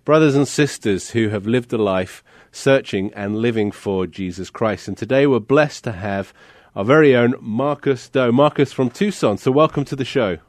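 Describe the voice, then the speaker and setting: A man's voice: mid-range voice, normal speed, dry recording, clean studio sound. A man co-hosting a radio interview, asking friendly, rambling questions full of fillers. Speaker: radio co-host.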